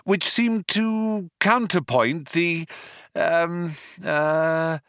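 Man acting nervous, high-pitched, eager human fellow. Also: big pitch swings, a little slow, dry recording, studio quality, heavily acted. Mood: eager, floundering. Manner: bright, gushing, floundering.

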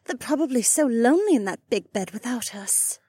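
A woman playing a high-pitched, coy young temptress. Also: animated, brisk, warm tone, no echo, studio quality. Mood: sweet, satisfied.

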